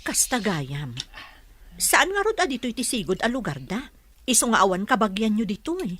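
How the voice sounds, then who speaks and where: A woman's voice: on the high side, sing-song, normal speed, fairly bright tone. A woman playing a kindly, nosy village neighbour with an Ilocano accent in a radio drama. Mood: curious.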